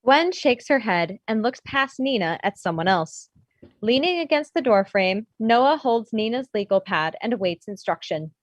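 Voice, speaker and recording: Woman narrator, slightly high voice, dry close sound, screenplay stage directions. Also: animated, normal speed, no room sound. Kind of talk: scripted narration at a table read.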